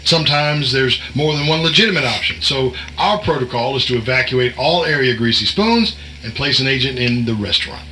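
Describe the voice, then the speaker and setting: A man's voice: mid-range voice, brisk, few pauses, bright, forceful tone, slight background noise, lightly acted, rough recording. A man playing a folksy, wisecracking secret agent posing as a night cook, a glib and sardonic explainer, in an audio drama. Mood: confident, folksy.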